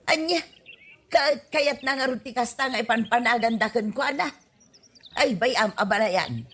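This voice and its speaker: An older village mother, acted by a woman in an Ilocano comedy radio play. She is dismayed and ashamed of her grown son, speaking in a fairly high, husky voice. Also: moderate pace, a bit bright.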